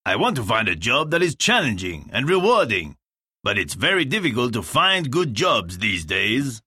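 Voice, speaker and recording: A man giving lesson instructions and reading scripted dialogue, clear and deliberate. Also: medium pitch, sing-song, bright, forceful tone, no room sound, studio quality. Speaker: lesson narrator and dialogue reader.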